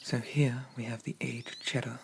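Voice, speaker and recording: A man playing a haughty, possessive demon prince of dreams in an ASMR roleplay, speaking formally and pompously in a soft, often whispered voice. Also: mid-range voice, level tone, moderate pace, creaky, slight background noise, close to an everyday voice. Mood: softly instructive. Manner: pompous, formal, archaic diction. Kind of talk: ASMR roleplay, addressing the listener.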